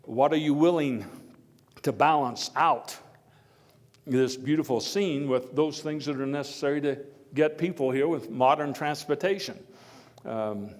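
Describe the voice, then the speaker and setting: A man performs a jovial, hearty former president addressing a live audience. He speaks in folksy oratory with halting, deliberate phrasing. His voice rings through a hall with long reverb. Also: a little high, natural voice. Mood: earnest. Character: Theodore Roosevelt, jovial, hearty former president telling anecdotes. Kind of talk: live historical dramatization speech to an audience.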